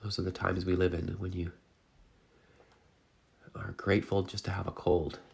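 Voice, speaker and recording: A low, gravelly male voice, stuffed up from a cold, chatting softly as he paints in his studio. He talks in a halting, easygoing way and fills the gaps with ums and uhs. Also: flat delivery. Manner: soft-spoken, halting, many fillers. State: congested with a cold. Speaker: painting instructor. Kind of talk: relaxed live-stream chat while painting. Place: art studio, indoors.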